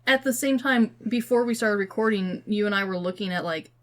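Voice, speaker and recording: A young woman co-hosting a podcast in casual, slangy chat, with an animated, joking and exaggerating way of talking. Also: mid-range voice, fairly quick, background noise. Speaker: podcast co-host.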